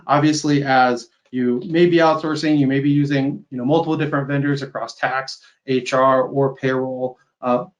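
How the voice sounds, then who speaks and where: A man's voice: mid-range voice, fairly even intonation, moderate pace, few pauses, muffled recording. A man gives an informal webinar product demo over a video call, explaining things conversationally and often checking in with a tag question. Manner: explanatory, conversational, tags statements with "right?". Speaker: software company sales executive presenting a webinar.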